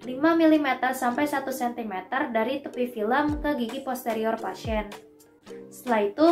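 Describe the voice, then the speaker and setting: A young woman narrates a scripted instructional demonstration, explaining each step politely and carefully. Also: sits high. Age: young adult. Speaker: dental student demonstrating a procedure. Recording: echoey room with music behind.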